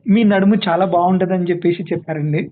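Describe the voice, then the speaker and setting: A man making a prank call, firing quick, needling questions. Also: high-pitched, steady tone. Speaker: prank caller. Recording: tinny phone line with faint noise.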